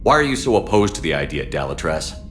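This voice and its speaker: A man's voice: very deep voice, sing-song, fast talker, a bit bright, exaggerated voice. A man playing a firm, decisive human military commander in a video game, blunt and controlled. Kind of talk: cinematic video game acting. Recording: game music behind.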